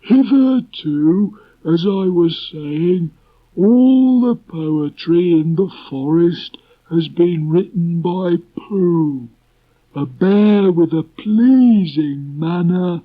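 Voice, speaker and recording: A man voicing a gloomy, self-important old donkey in a children's storybook, declaiming in a ponderous, halting, doleful way. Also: fairly high pitch, animated, pitched above the performer's own voice, full character voice. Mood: pompous, mournful. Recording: muffled old cassette tape transfer.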